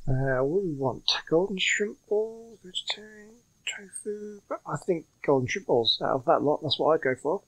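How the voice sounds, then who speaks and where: A man's voice: on the high side, expressive, moderate pace, a bit bright, no echo, poor audio. A man giving casual, muttered running commentary as he plays a video game, with game music behind him. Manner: mutters running reactions to gameplay. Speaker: gaming video creator. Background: game music and sounds.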